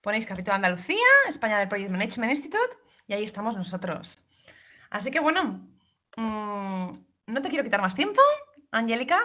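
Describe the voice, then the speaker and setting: A woman's voice: medium pitch, very animated. Woman host over a tinny call line. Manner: partly read introduction, brisk announcements. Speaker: event host. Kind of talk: introducing a webinar speaker. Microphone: video call. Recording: tinny phone-like online call audio.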